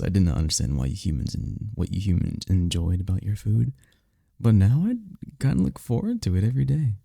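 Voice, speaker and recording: A man playing an affectionate, teasing incubus boyfriend in an intimate, softly spoken roleplay ASMR, husky and breathy. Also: dry recording, clean studio sound, heavily acted. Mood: cheerful, affectionate. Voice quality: gravelly, breathy, husky low voice.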